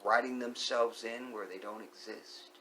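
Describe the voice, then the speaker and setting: A man vlogging an opinionated monologue. He repeats key phrases, asks the viewer rhetorical questions and sometimes sinks to a hushed whisper. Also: mid-range voice, steady tone, a little slow, slight background noise.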